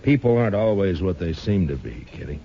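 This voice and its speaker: A man playing a stern, watchful marshal of the Old West in a 1950s radio western, speaking in a measured, blunt, authoritative way. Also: big pitch swings, mellow. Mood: guarded. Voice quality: deep, firm. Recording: dull, hissy 1950s radio recording.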